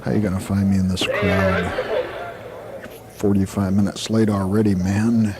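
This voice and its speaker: An actor playing an earnest, skeptical literature professor in a 1980s audio drama. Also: male voice, low-pitched, little pitch movement, a little slow, crowd noise. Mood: musing, impatient. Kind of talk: 1980s audio drama dialogue with inner monologue. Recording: noisy old tape with background hiss.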